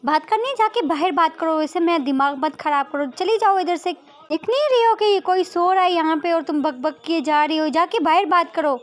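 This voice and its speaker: Very high voice, woman playing rude young roommate, indignant scolding, amateur story dialogue. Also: quick pace, few pauses, lightly acted. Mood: furious, scolding.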